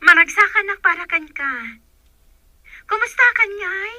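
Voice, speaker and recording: A woman's voice: very high voice, expressive, measured pace, sharp tone, no room sound, full character voice. A Filipino woman playing a concerned, coaxing mother in a radio drama. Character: pleading, concerned mother phoning her grown child. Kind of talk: Ilocano radio drama acting.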